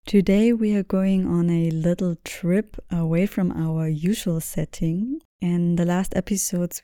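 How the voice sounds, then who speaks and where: A woman speaks as a podcast host with a fairly low, mellow voice. The sound is close and dry, and she moderates conversationally, setting things up for listeners. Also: no echo. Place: indoors, sitting with guests in Berlin.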